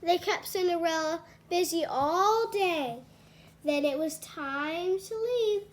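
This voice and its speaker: A woman's voice: extremely high pitch, takes it slowly. A woman reading a children's storybook aloud as a storyteller.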